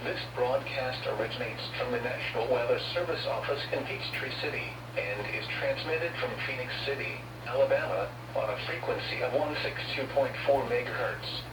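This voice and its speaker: A man with an American accent reads formal scripted weather bulletins in an impersonal, measured way over radio. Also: mid-range voice, distant mic. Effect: radio broadcast. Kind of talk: formal scripted warning and information broadcast. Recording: noisy, humming weather radio picked up from afar, roomy.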